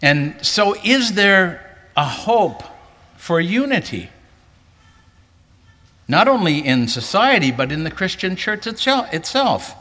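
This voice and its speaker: Male pastor, earnest sermon delivery, bright and forceful, reverberant convention hall. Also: sing-song, slow pace, pauses often. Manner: earnest, rising pitch for emphasis. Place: large hall, preaching to a convention.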